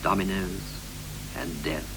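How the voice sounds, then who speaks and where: An actor playing a weary, brooding ageing husband in a 1960s poetic radio play, speaking in deliberate, declamatory phrases. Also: male voice, sits low, steady tone. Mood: weary, reflective. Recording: noisy, hissy 1960s radio recording.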